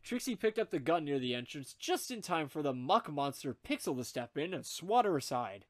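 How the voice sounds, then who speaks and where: A man narrating fan fiction in an amateur comedic group reading, animated and dropping wry asides. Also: a little high, normal speed.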